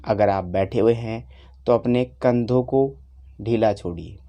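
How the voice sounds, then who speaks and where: A man teaches guided meditation in a lowish, mellow voice. He speaks very slowly, laying out each instruction in short phrases and leaving long pauses between them.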